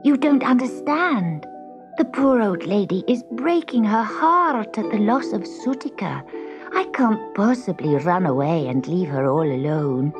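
A woman's voice: medium pitch, lively intonation, a little slow, music in the background, pitched above the performer's own voice, lightly acted. A woman voicing a small, timid, kindhearted young cat in a storybook, polite and anxious. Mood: earnest. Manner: deferential, anxious.

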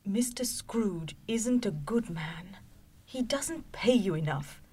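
Man playing an indignant mother, raised voice, slow, resentful. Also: high-pitched, expressive, darkish tone, husky, pitched above the performer's own voice, heavily acted. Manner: frowning, resentful. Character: indignant mother defending her husband.

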